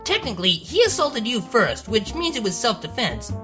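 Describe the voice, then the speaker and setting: A woman voicing a loyal, teasing, excitable female cartoon unicorn with a casual, easygoing way of talking. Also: on the high side, normal speed, soft background music, voice pushed up. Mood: casual, curious.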